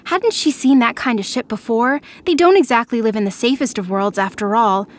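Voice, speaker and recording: A woman's voice: on the high side, fairly quick. A woman narrator reading scripted, dramatic fiction for an audio drama.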